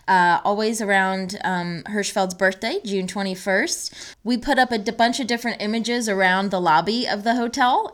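A woman's voice: mid-range voice, fairly bright tone. A woman co-hosting a podcast in a casual, chatty style, conversational and given to playful asides. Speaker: podcast co-host, archives manager.

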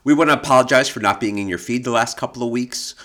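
A man co-hosting an informative podcast conversation, explaining things in a measured way with hesitant pauses. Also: medium pitch, a bit bright. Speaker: podcast co-host, creative director of an arts foundation.

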